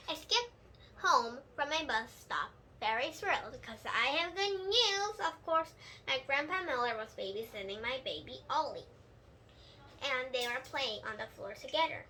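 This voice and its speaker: A young-sounding female reader narrating a children's storybook aloud, halting with small stumbles over words. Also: sits high, sharp tone, slight background noise.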